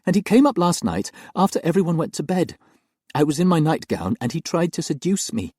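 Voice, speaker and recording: A man's voice: fairly high pitch, sing-song, brisk, a little mellow, dry recording, studio quality, pitched above the performer's own voice. A man voicing a frightened young woman nanny, pleading earnestly through tears.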